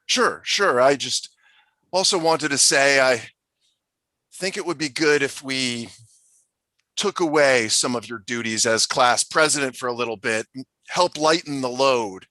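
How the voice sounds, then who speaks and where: An actor plays a male school principal in a comedy table read. He is hesitant, tactful and placating. His voice is bright and forceful, recorded close and dry. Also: mid-range voice, a little slow, dry recording.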